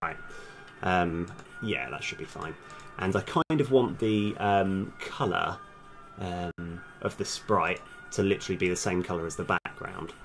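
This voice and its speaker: A man's voice: low-pitched, measured pace, fairly bright tone, faint music behind. A man thinking aloud in a casual, hesitant, self-deprecating way while live streaming as a game developer.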